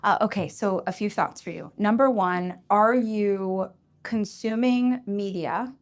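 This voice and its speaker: A woman hosting a live audience Q&A, talking frankly and warmly encouraging, laughing easily. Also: medium pitch, a little slow. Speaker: podcast host answering audience questions. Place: live event venue.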